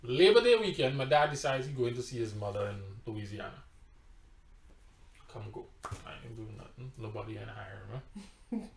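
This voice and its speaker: A man's voice: mid-range voice, lively intonation, moderate pace, vocal fry, long pauses, a little hiss or hum. A pastor with a Trinidadian accent telling a personal testimony in conversation, emphatic and storytelling, sometimes repeating his words. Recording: roomy echo.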